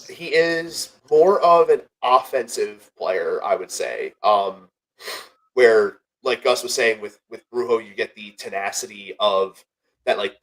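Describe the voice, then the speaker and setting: A man's voice: fairly low pitch, fairly bright tone, low-quality recording. A young man co-hosting a casual sports podcast. He sounds a little nasal and congested from a cold, and he rambles, corrects himself and jokes through his ad reads. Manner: rambling, self-correcting, joking. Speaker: podcast co-host.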